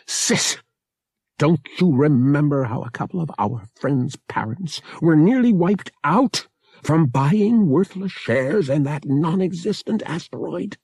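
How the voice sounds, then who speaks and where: A man's voice: fairly high pitch, huge pitch swings, slow, creaky, a little mellow, slightly rough, dry recording, voice pushed up, heavily acted. Man acting an indignant, swooping young brother. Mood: exasperated. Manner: emphatic, argumentative.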